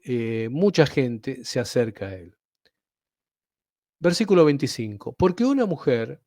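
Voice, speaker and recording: Argentine guy explaining bible verses, keeps asking "right?", mellow tone, dry recording. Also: medium pitch, dry recording. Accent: Argentine Spanish. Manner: explanatory, asks "¿sí?" often. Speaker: Bible teacher. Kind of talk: teaching sermon.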